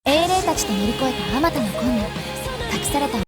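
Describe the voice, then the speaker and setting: An actress playing an earnest, determined young heroine in a game trailer, with loud music behind her. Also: high voice, sing-song, rapid-fire. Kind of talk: dramatic game trailer voice acting.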